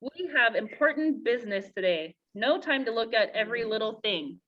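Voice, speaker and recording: A woman's voice: on the high side, lively intonation, normal speed, dull audio. A woman voicing a fussy, proper male bird minder in a children's storybook, speaking formally. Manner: formal, pleading and scolding.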